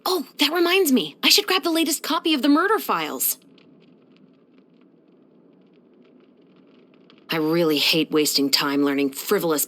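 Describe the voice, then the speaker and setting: A woman's voice: fairly high pitch, a bit bright. An actress playing a stern, dutiful young female patrol captain in a video game, speaking in a composed, authoritative and earnest manner. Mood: matter-of-fact. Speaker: video game character voice actor. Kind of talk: scripted video game character voice lines.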